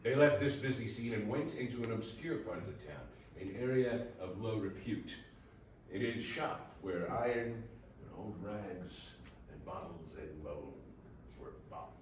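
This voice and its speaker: A man's voice: fairly low pitch, a little slow. A man giving scripted story narration for readers theater, recorded at a distance in a very echoey room. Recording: very echoey room, distant mic, faint noise.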